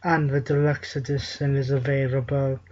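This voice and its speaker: A man's voice: mid-range voice, flat delivery, moderate pace, darkish tone, highs cut off. A man co-hosting a wrestling talk show in casual chat, making jokey wordplay with names.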